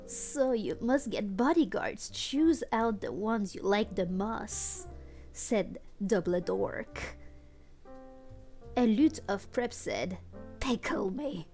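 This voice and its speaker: A woman's voice: medium pitch, lively intonation, takes it slowly, dark tone, faint music behind. A woman livestreaming a read-aloud of fan fiction on a headset, playful and mock-dramatic, with amused asides. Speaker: streamer and host.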